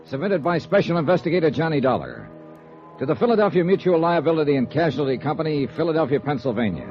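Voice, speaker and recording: A male actor as a hard-boiled American private investigator in an old radio drama. He is clipped and wry, with swooping, animated pitch, on a muffled vintage recording with music behind. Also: lightly acted. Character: cool, brisk American male insurance investigator. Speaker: lead radio actor and narrator. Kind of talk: 1950s radio noir acting.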